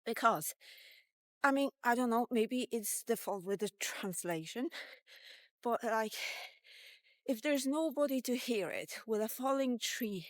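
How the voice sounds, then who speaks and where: A woman's voice: fairly high pitch, a little slow, long pauses, no room sound. A woman in her fifties talking casually in a solo vlog, blunt and sweary, thinking aloud as she goes. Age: 50s. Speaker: vlogger. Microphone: walkie-talkie vlog, recorded on the go.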